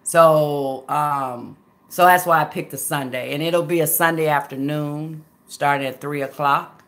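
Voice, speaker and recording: Gravelly-voiced Southern woman chatting with viewers. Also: deep voice, steady tone, unhurried. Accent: Southern American. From Memphis, USA. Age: 50s. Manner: folksy, chatty, calls viewers baby and girl. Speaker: livestream host chatting with viewers. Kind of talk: casual livestream chat.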